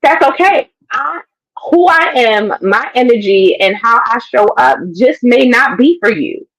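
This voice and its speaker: A woman podcast co-host with a raspy voice, talking in an expressive, emphatic way in casual chat. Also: a little high, unhurried, low-quality recording.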